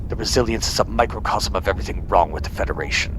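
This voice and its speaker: A man's voice: lowish voice, fast talker, background noise, close to an everyday voice. A man playing an arrogant, bullying rear admiral with a domineering, sarcastic manner in a sci-fi audio drama. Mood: outraged.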